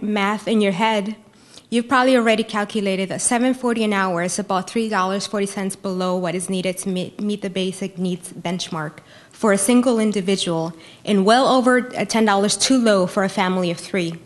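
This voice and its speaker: A woman from Peru giving a prepared debate speech as a debater, earnest and emphatic, stressing key phrases. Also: mid-range voice. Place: university lecture hall.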